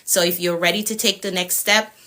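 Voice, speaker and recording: A woman coaching on a live stream, repeating herself encouragingly and pitching her mentorship program. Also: steady tone, a little fast, bright, forceful tone. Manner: repetitive, encouraging, sales pitch. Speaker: productivity coach.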